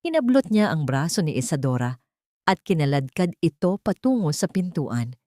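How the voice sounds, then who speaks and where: A woman's voice: sits low, sing-song, normal speed, dark tone, dry recording, studio quality. A woman reading a scripted, dramatic story as an audiobook narrator.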